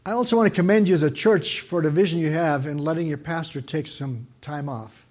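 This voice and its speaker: An older man with a soft, slightly high voice preaches a sermon into a church microphone. He talks quickly, often stopping for fillers and restarting his sentences. His tone stays gentle and personal. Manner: speaks fast, with frequent fillers and restarts. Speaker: guest preacher. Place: church sanctuary.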